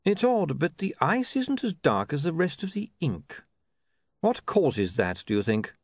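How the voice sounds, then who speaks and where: A calm ruler of a storybook fantasy city , acted out by a man. He speaks with measured precision and cool irony, a little higher in pitch, never ruffled. The sound is close and dry. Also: no echo, heavily acted. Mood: mildly distracted.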